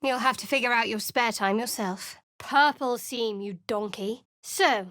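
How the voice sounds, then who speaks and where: Guarded and sardonic, this young female cleric speaks in a fairly high, dry-edged voice. Her remarks come out cool and sarcastic, recorded close and completely dry in a studio booth for a game. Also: unhurried, a bit bright, no room sound. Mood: wry. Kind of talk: video game voice lines. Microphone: close studio mic.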